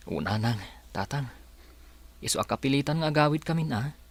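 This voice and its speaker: A man's voice: medium pitch, lively intonation, a little fast, creaky, breathy edge, no echo. A man playing an earnest, dejected young village labourer in a radio drama.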